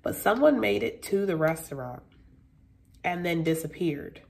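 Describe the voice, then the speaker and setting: A woman's voice: fairly low pitch, lively intonation, a little slow, gravelly. A woman in her late twenties chatting casually to her phone camera in a hotel room, rambling with lots of fillers and sounding stuffy and congested. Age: late 20s. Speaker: vlogger. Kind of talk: casual vlog chat to camera.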